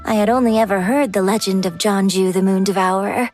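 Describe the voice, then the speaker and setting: A woman's voice: medium pitch, steady tone, a little fast, a little mellow, soft background music, close to an everyday voice. A woman playing a gracious, modest young opera singer in an anime video game, speaking with polite, refined formality. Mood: thoughtful.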